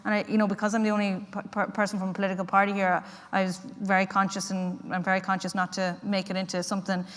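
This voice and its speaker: A woman's voice: medium pitch, little pitch movement, fast talker, fairly bright tone. A woman politician speaking at a public meeting, hesitant, with many fillers and restarts. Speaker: party politician. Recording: long hall reverb.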